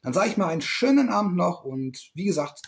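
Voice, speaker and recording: Man, middle-aged, fairly high voice, very animated pitch, rambling, self-correcting, casual vlog, close webcam, small room. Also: fairly quick, vocal fry. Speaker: toy collector vlogger.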